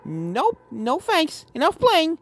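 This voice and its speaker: A woman's voice: extremely high pitch, huge pitch swings, slow pace, dry recording, voice pushed up, exaggerated voice. A woman voicing a plucky, stubborn young filly who hunts ghosts, spirited and determined, in a story reading. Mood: defiant. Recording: music behind the voice.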